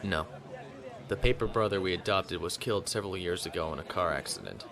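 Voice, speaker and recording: Low-voiced, composed young naval officer. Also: male voice, a little fast, gravelly, crowd noise. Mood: composed, dry. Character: composed young noble naval lieutenant, coolly defiant. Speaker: voice actor in audio drama. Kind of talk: science fiction audio drama acting.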